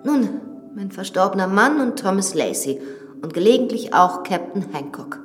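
An actress playing a composed, matter-of-fact widow of an old manor in a German radio drama, speaking in a measured, guiding way. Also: female voice, medium pitch, sing-song, soft background music, natural voice. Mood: wistful. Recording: echoey reverberant vault.

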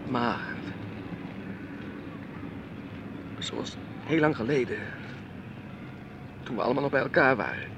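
An actor playing a timid, clinging husband who craves security, speaking hesitantly and placatingly in a radio play. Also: male voice, mid-range voice, animated, moderate pace, background noise, natural voice. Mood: frightened, forlorn. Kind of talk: radio drama acting.

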